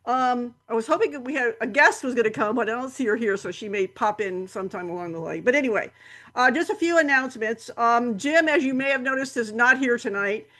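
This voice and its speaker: Woman, club host, fairly high voice, meandering announcements full of fillers. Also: expressive, a little fast, fairly bright tone. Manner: rambling, many fillers and restarts. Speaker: camera club meeting host.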